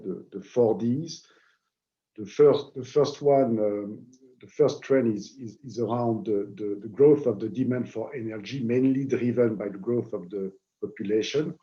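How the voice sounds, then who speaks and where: French man presenting over a call; low-pitched, dark tone, halting delivery with many fillers, muffled audio. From France. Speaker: guest presenter. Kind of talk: webinar presentation. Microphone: video call. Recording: dull lo-fi online call audio.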